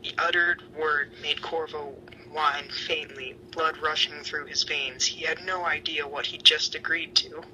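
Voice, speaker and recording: A man giving a dramatic, breathy reading of fan fiction, with occasional stumbles. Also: fairly high pitch, level tone, unhurried, few pauses, pushed and bright, a little hiss or hum, rough recording.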